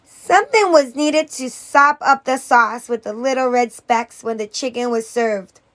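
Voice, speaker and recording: A woman with a high-pitched voice reads a children's story aloud as a teacher would. Her narration is expressive, putting dramatic emphasis on key words and character moments. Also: a little slow.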